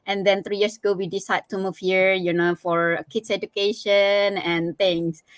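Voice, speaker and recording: A woman's voice: medium pitch, little pitch movement, moderate pace, no room sound. A middle-aged woman with an Indonesian accent, giving a casual personal account as a guest, hesitantly and with many small filler phrases. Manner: hesitant, frequent "you know". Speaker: interview guest.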